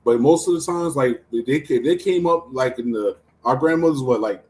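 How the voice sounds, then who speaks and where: A man's voice: medium pitch, normal speed, darkish tone. A man in his forties arguing casually in a heated debate, blunt and insistent, often repeating himself. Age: 40s.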